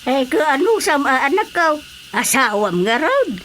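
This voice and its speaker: A woman playing a worried older mother in a radio drama, with an Ilocano accent and drawn-out exclamations. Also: high-pitched, very animated, a bit bright, full character voice. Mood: worried, dismayed. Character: worried older mother defending her child. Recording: faint background noise, cricket ambience.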